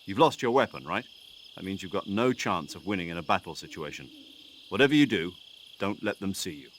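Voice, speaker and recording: A firm mission commander briefs his agent over the radio. His voice is low and urgent in a game cutscene. A faint ambient hum lies under him. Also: expressive, a little fast.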